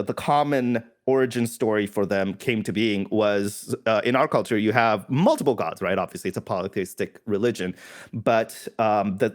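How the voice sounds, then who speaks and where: A man from India who is a podcast guest, explaining in casual conversation with a thoughtful, self-correcting manner. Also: mid-range voice.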